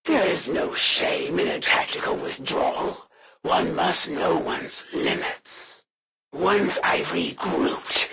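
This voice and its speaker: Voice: medium pitch, vocal fry, background noise, heavily acted. An actor playing a proud, honor-bound alien assassin in a video game, speaking in a formal, theatrical and menacing way. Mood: haughty, threatening. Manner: formal, haughty, deliberate, theatrical. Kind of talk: scripted video game villain monologue.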